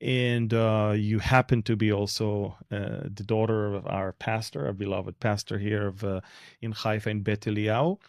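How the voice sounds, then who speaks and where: A man's voice: fairly low pitch, steady tone, normal speed, vocal fry, no room sound. Man, Israeli accent, podcast host, conversational interviewer, dry close recording.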